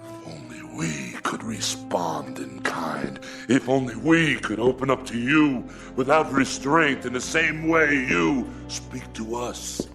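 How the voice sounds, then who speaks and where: A man's voice: mid-range voice, expressive, slow pace, over music. An actor playing a resentful, greedy middle-aged son-in-law in a radio drama, formal and aggrieved in his speech. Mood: wounded, indignant. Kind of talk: dramatic radio play acting.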